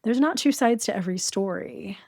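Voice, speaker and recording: A woman's voice: fairly high pitch, a little fast, mellow, no room sound. Middle-aged woman telling her story, candid and wry, dry close mic. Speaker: guest, domestic violence survivor. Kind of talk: personal storytelling in interview.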